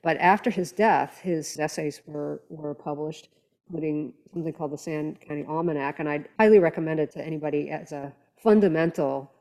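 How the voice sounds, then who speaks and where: A woman's voice: low voice, fairly quick, mellow. A woman speaking as a podcast panelist in conversational discussion, with thoughtful, hesitant phrasing.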